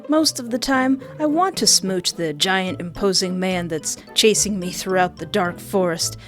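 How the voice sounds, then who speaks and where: A young woman hosting a countdown of game characters, excitable and goofy, often interrupting herself. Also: mid-range voice, measured pace, faint music behind. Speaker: youtube host.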